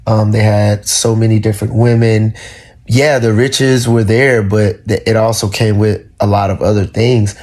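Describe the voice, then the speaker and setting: A man's voice: on the low side, steady tone, moderate pace, a little mellow. A man giving earnest, lecturing commentary to camera about love and money in relationships.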